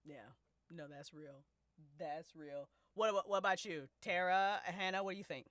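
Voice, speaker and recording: A woman's voice: lowish voice, normal speed, a bit bright, no echo, clean studio sound. A woman hosting a casual discussion of a fiction story, speaking expressively in slangy, profane language. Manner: prone to laughing as they talk. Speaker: host and writer of a fiction podcast. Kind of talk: casual discussion of an audio drama.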